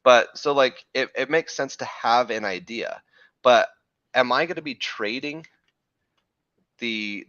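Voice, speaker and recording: A man hosting a live crypto trading show, talking through chart analysis in a rambling way, correcting himself, with a slightly hoarse voice from losing it. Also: on the low side, a bit bright, dry recording, dull audio. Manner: rambling, self-correcting, frequent "right?" checks.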